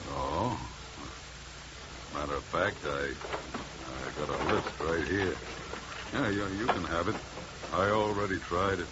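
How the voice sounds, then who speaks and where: An actor playing a gruff, cynical American oil wildcatter in a 1950s radio drama, talking in a folksy, tough, sardonic way. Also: male voice, low-pitched, a little slow, long pauses. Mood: casual, hard. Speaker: supporting actor. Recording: muffled, noisy 1950s radio recording.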